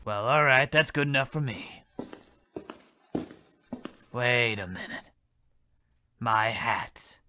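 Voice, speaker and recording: A man acts out a blundering radio-comedy policeman with a bright, forceful voice. He rambles along in a folksy, self-important way, oblivious and keen to help himself. There is clear noise behind the voice. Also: medium pitch, slow, pauses often, exaggerated voice. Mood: nosy. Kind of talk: old-time radio comedy acting.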